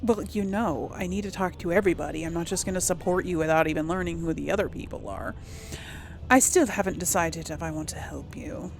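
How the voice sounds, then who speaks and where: A woman's voice: lowish voice, steady tone, fairly quick, soft background music. A woman doing casual gameplay commentary, hesitant and rambling as she thinks aloud to herself over game ambience. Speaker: host of a role-play let's play series. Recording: clear background noise, game ambience.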